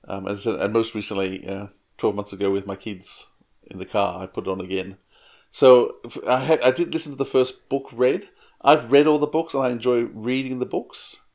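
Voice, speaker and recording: A man's voice: lowish voice, darkish tone. Chatty male podcast co-host. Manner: rambling, enthusiastic, restarts often. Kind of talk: casual chat.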